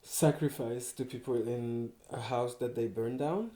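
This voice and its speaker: A man's voice: mid-range voice, a little slow, dark tone. A young man from Paris with a French accent, speaking casually as a textile artist guest, with many hesitations, restarts and laughs. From France, Paris. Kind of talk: casual conversation. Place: small farmhouse room, indoors. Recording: echoey room.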